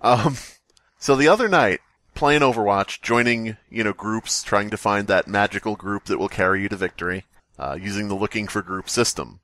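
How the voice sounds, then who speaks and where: A man with a fairly low voice, recorded dry and close. He tells casual anecdotes like a podcast host chatting with friends, animated and loose with profanity. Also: normal speed, no echo. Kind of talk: casual storytelling among friends.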